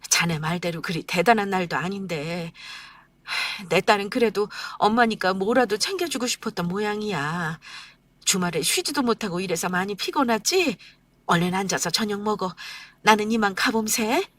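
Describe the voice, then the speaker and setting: A woman voicing a gentle mother in her sixties who soothes and apologizes, with slow old-fashioned speech. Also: mid-range voice, no echo, close to an everyday voice. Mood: placating. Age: 60s. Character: elderly mother, gentle and anxious to keep peace.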